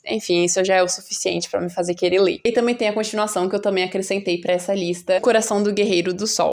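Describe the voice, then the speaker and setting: Female book vlogger, Brazilian Portuguese accent, lower-leaning voice, informal and enthusiastic chat straight to viewers. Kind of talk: casual chatty book talk to viewers.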